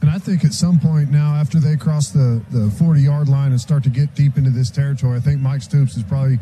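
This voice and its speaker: Very dark-toned sideline reporter. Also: male voice, mid-range voice, steady tone, fairly quick, a little hiss or hum. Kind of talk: live football radio sideline commentary.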